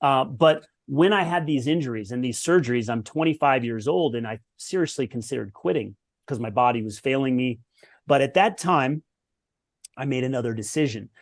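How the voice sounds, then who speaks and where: Forties guy from the US, ex tennis pro, earnest and reflective, mid pitch. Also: expressive, normal speed. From United States. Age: 40s. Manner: reflective, earnest, with lists and restarts. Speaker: podcast guest, former pro tennis player. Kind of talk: conversational interview storytelling.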